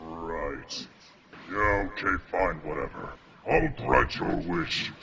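A jaded, world-weary magical dragon, acted by a man for a cartoon parody. The delivery is sarcastic and swings widely in pitch, with a gravelly edge. The recording is muffled and lo-fi. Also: animated, takes it slowly, far from the mic, heavily acted. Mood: exasperated, weary. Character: jaded, exasperated giant magical wish-granting dragon. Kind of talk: comedic anime parody dub. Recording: muffled lo-fi dub with loud background noise.

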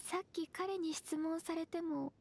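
A woman voicing a gentle, earnest young half-immortal maiden in a video game, polite and soft-spoken. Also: extremely high pitch, fairly even intonation, measured pace, gravelly, exaggerated voice.

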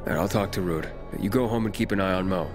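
Actor as a deep, terse young hero. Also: male voice, fast talker, close to an everyday voice. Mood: businesslike. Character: young male pilgrim hero, terse and wry. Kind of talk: video game drama acting. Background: music.

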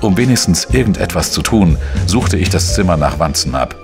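An actor playing a world-weary, wry male investigative journalist, narrating a crime audio drama in a dry, measured way. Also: low-pitched, big pitch swings, vocal fry, heavily acted. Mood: weary, matter-of-fact. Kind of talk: scripted first-person crime drama narration. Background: music.

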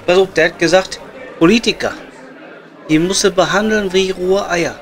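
A man's voice: sits high, normal speed. A man playing a blunt, chatty, sweary coal miner with a Ruhr accent in improvised pub comedy. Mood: chatty, joking. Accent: Ruhr area German. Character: blunt, joking working-class coal miner at his pub. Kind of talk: improvised comedy audio play, pub chat.

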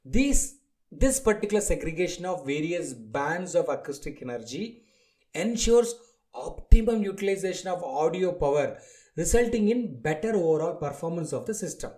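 High-pitched man reading a lecture. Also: animated, a little mellow. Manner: deliberate, enumerates points in short phrases. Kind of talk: scripted lecture read from slides.

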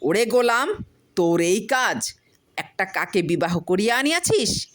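A woman's voice: mid-range voice, sing-song, measured pace, pitched above the performer's own voice. A woman voicing a jealous, hot-tempered village wife in a storybook reading, scolding and exclaiming. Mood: furious scolding.

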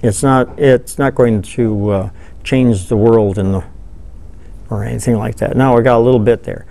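Older man, fly tying demo, folksy, thinks out loud, mid-pitched voice. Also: moderate pace, vocal fry. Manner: relaxed, folksy, thinks aloud while working. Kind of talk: hands-on tutorial. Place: studio with dark backdrop, seated at table.

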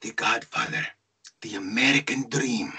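A man's voice: medium pitch, measured pace, husky, full character voice, rough recording. A man playing an exasperated adult son who tells of his boyhood love of movies in a theatrical screenplay reading. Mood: wistful, theatrical. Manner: expressive, theatrical storytelling. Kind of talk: screenplay table read, dramatic monologue. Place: indoors on a group video call.

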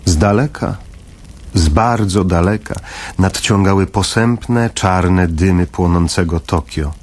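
A man narrating a literary audio drama from a script, with a husky voice. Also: low voice, takes it slowly, a little mellow.

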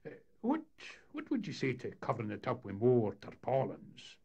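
A man voicing a wily older boat captain who talks his way out of trouble in a sly, unhurried way. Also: mid-range voice, wildly swooping pitch, gravelly, warm tone, husky, highs cut off, voice pushed up, exaggerated voice.

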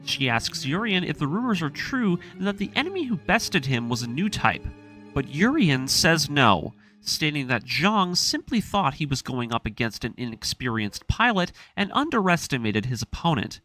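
A man's voice: fairly high pitch, big pitch swings, moderate pace, bright, forceful tone. A man reading a scripted story recap as the narrator of a series. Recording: voice-over with music underneath. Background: music.

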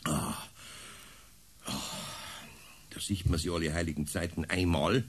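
An actor playing a grumpy but kindly older uncle, a carpenter, with a husky voice and a Bavarian accent. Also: male voice, low voice, a little slow. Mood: grumbling. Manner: grumbling, homely. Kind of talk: radio play dialogue.

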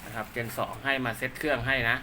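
A man's voice: mid-range voice, steady tone, fast talker, bright, forceful tone, a little hiss or hum. A man giving casual, polite, rambling hands-on commentary as a technician showing gear he is setting up, half talking to himself as he works. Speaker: radio technician and seller presenting his work.

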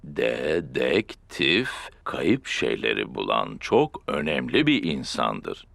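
Deep, gravelly, jolly daddy pig. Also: male voice, wildly swooping pitch, a bit bright, dry recording, heavily acted. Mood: warmly grand. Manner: drawn-out, playful, fatherly exclamations. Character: jolly, kindly daddy pig, big and playful. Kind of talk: children's cartoon dub acting.

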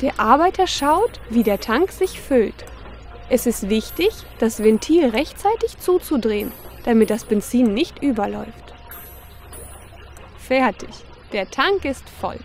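A woman's voice: a little high, animated, measured pace. A woman reading scripted narration for a young children's educational cartoon, instructive, posing questions and answering them. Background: background music.

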